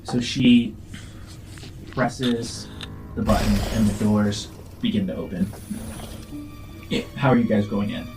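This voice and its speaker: A man acting as game master, narrating a tabletop roleplaying game in a halting, improvised way. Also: fairly low pitch, steady tone, rough recording. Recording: music under the voice.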